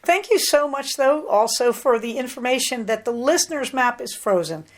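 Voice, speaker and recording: A woman's voice: high-pitched, lively intonation, moderate pace. A middle-aged American woman hosting a podcast, talking in a chatty, rambling way with plenty of asides. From United States. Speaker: podcast host. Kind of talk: casual solo podcast chat.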